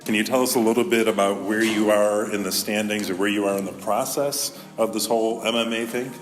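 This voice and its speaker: A man's voice: on the low side, steady tone, a little fast, over music. A man hosting a casual interview in a conversational style, putting questions to a guest. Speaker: school podcast host and interviewer.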